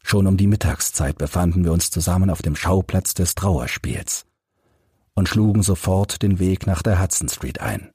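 Male narrator for crime audiobook: low husky voice, measured literary read, very dry sound. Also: mellow, no room sound.

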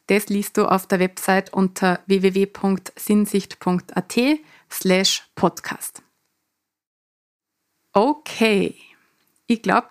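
Austrian dialect-speaking woman podcaster. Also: mid-range voice. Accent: Mostviertel Austrian dialect with a touch of Salzburg. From Mostviertel, Lower Austria. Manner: earnest, speaks in dialect rather than standard German. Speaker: podcast host and coach. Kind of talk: solo podcast monologue.

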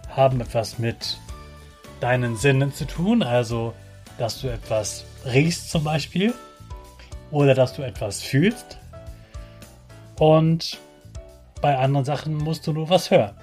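A man's voice: mid-range voice, slow, long pauses, darkish tone, soft background music. A man hosts a children's podcast, talking directly to the child in simple, encouraging, motivational words.

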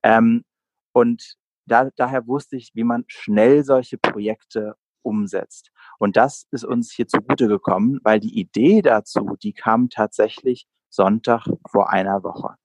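A man's voice: on the low side, unhurried, darkish tone, no echo, rough recording. A man in his late twenties from Berlin, answering interview questions conversationally as a guest entrepreneur. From Berlin, Germany.

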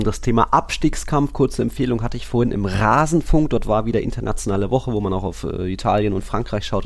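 A man's voice: medium pitch, gravelly, a little mellow. A man co-hosting a casual football podcast, colloquial and musing, thinking aloud in short asides. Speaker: podcast co-host.

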